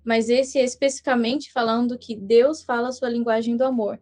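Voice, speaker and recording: A young woman whose first language is English, telling her story as a guest on a podcast in an earnest, measured way with small restarts. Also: fairly high pitch, fairly even intonation, darkish tone, soft background music. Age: young adult. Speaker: guest missionary. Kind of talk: casual podcast interview.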